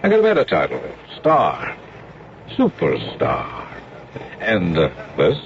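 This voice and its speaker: An actor playing a brash, slangy, persuasive American showbiz agent in a radio drama, with a cocky hard-sell manner. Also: male voice, low voice, wildly swooping pitch, slow pace, full character voice. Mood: pushy persuasion. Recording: dull, faintly noisy radio broadcast recording.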